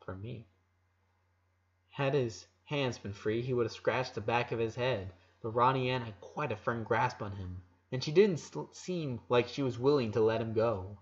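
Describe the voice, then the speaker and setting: A man's voice: mid-range voice, normal speed, few pauses, breathy edge. A man narrates a dramatic story in a mid-range voice. His delivery is emotive and now and then he stumbles and restarts a word. The recording is a little dull and lo-fi. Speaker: narrator reading fan fiction aloud.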